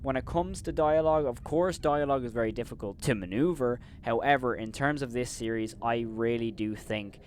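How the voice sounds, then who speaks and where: A man hosting a commentary video, giving opinionated criticism, leaning on "of course" and "in my opinion" and turning loud and incredulous when he rants. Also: medium pitch, fairly quick, few pauses, slight background noise. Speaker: host and reviewer.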